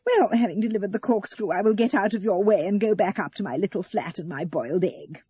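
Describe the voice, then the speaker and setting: A woman's voice: mid-range voice, brisk, mellow, breathy edge, no room sound, highs cut off. A woman voicing a righteous older mother in an audio story, prim, self-pitying and cutting.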